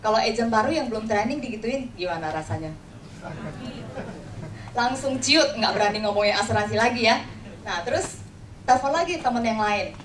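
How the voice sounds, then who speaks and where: A woman's voice: fairly high pitch, lively intonation, fairly quick, long pauses. Indonesian woman w jakarta accent giving a chatty motivational talk, roomy echo, mic kinda far away. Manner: conversational, acts out quoted dialogue, frequent tag words seeking agreement. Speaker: insurance agency leader giving a talk. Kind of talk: informal motivational talk with personal anecdotes. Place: indoor room before an audience. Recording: echoey room, distant mic, noisy background.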